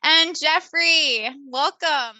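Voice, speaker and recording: A woman's voice: high-pitched, very slow, bright, forceful tone, no echo. A woman hosting an interview with nurses in a cheerful, enthusiastic way. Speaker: host, nurse recruiter.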